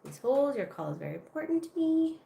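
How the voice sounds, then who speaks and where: A woman's voice: sits high, very animated, a little fast, vocal fry, mellow. Chatty woman hosting a craft livestream. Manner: chatty, talks to viewers while working. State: slightly congested. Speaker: art channel host. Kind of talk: casual livestream chat while crafting. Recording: roomy with faint room noise.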